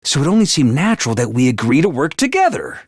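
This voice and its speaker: A man voicing a small, bossy male robot commander in a video game, theatrical and self-important, prone to shouting. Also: wildly swooping pitch, fast, gravelly, slightly rough, no echo, studio quality, heavily acted. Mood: scheming. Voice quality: squeaky, over-the-top. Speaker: voice actor. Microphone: dry studio mic.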